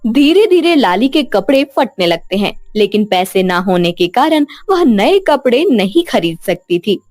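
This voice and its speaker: A woman narrating a scripted children's moral story in an expressive storytelling style. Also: mid-range voice, unhurried, no echo, clean studio sound.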